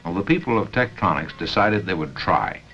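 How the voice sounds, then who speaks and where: American man, industrial training film narrator, lowish pitch, lively intonation, deliberate pauses, dull vintage soundtrack. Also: music in the background.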